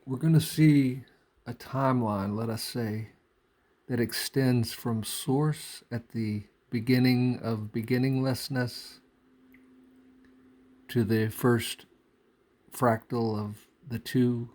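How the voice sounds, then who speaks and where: A man speaks very slowly in a mellow, dark voice. He delivers an off-the-cuff spiritual talk, pausing often and circling back over his phrases with deliberate care. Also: mid-range voice, steady tone. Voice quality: frequent throat clearing. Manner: halting, deliberate phrasing with pauses and repetition. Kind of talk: unscripted spiritual monologue.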